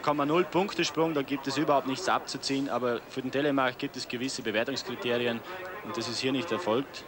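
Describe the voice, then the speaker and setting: A man's voice: mid-range voice, steady tone, quick pace, a crowd in the background. A man with an Austrian accent giving colloquial expert analysis during live ski flying commentary. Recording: noisy outdoor sports broadcast.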